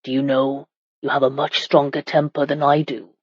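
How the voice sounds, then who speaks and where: Woman doing a low, commanding medieval husband. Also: little pitch movement, normal speed, dry recording, lo-fi sound. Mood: gentle, firm. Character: commanding, exasperated medieval warrior husband, protective.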